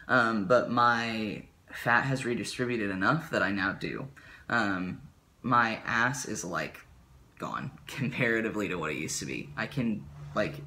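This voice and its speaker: A man's voice: mid-range voice, bright, forceful tone. A young man talking casually to camera in a small bedroom, rambling with lots of fillers, his voice prone to cracking. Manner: rambling with many fillers and likes. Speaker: vlogger. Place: small bedroom with ceiling fan.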